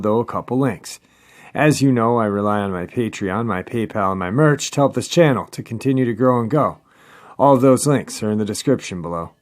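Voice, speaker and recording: Man hosting a show, mid-range voice. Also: mid-range voice. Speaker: host of a paranormal podcast. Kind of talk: host introduction and interview.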